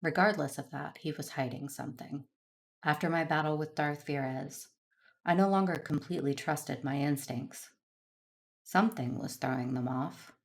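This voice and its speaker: A woman playing a solemn, conflicted but resolute Jedi warrior in an audio drama, speaking in a measured, earnest way. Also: sits low, creaky. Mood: brooding, somber. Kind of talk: first-person narration and dialogue in audio drama.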